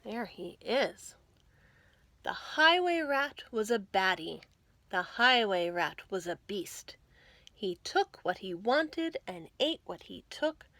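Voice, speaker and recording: A woman reading a picture book aloud for young children, expressive and deliberate, with dramatic emphasis. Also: medium pitch, no room sound. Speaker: children's librarian reading storytime.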